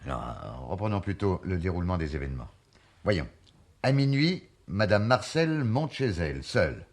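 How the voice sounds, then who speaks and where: A tenacious male sleuth in a French mystery drama. His voice is on the low side and highly animated, and he puts questions deliberately, with theatrical emphasis. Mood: methodical. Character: probing, tenacious male amateur investigator. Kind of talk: French radio mystery play acting.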